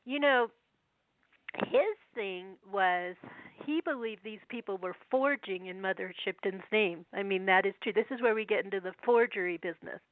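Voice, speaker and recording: A woman with a cold, her voice going, speaking as a guest over the phone and reading old verses slowly between chatty asides. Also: medium pitch, dry recording. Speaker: guest researcher. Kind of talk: phone interview, reading prophecy verses aloud. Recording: tinny phone line.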